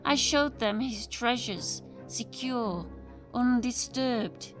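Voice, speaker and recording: A woman giving a theatrical, deliberate dramatic reading of a gothic horror story, stretching phrases for emphasis. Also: a little high. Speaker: amateur storyteller, reader. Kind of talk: dramatic literary narration of a gothic horror tale. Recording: music behind the voice. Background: music.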